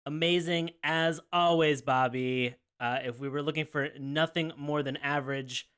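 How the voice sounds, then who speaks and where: A man's voice: a little high, fairly even intonation, normal speed, fairly bright tone, smooth. A man hosting a podcast in a casual, teasing, bantering way. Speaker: podcast host.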